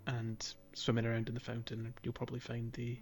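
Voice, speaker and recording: A man's voice: mid-range voice, completely flat delivery, fairly quick, darkish tone, faint music behind. A man co-hosting a gaming podcast, chatting casually and explaining things in an informal, hesitant way. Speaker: podcast co-host.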